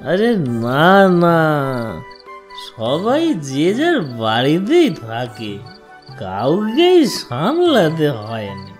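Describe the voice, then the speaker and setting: A woman's voice: deep voice, wildly swooping pitch, extremely slow, a little mellow, soft background music, full character voice. A woman voicing a dim, cheerful drunk bachelor in a cartoon comedy, naive and sing-song. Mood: chatty, breezy.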